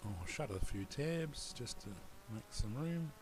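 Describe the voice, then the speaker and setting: A man with a New Zealand accent giving a relaxed, rambling screen-recorded computer tutorial. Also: medium pitch, measured pace, background noise. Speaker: tutorial presenter.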